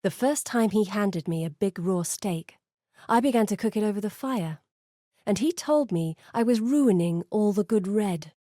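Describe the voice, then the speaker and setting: An actress voices a burdened woman explorer from a fantasy video game. Her voice is soft-edged and mellow, recorded very dry and close. She speaks earnestly and with measured, articulate gravity, as a guide who carries heavy responsibility. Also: mid-range voice, animated, dry recording, close to an everyday voice. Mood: wry, confiding. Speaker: video game character voice actor. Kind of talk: dramatic fantasy game dialogue.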